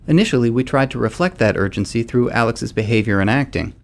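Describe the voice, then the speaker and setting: A man with an average-pitched voice reads prepared commentary as a game developer. He lays out design reasoning in full, orderly sentences. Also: fast talker.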